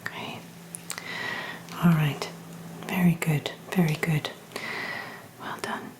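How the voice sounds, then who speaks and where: Slow, whispering woman in soft ASMR role-play. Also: deep voice, steady tone, rough recording. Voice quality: breathy, mostly whispered. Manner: gentle, reassuring, softly encouraging. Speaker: ASMR performer role-playing a hearing examiner.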